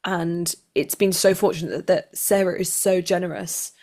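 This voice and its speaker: Woman, slightly low voice, conversational interviewee, expressive and chatty. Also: moderate pace. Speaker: actor being interviewed.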